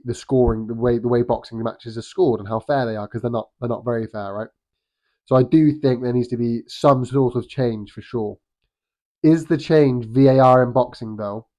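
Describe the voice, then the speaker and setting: A man's voice: medium pitch, darkish tone. British man with a nasal cold. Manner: rambling, frequent restarts and ums. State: has a cold, slightly nasal. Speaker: boxing vlogger. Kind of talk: casual opinion monologue.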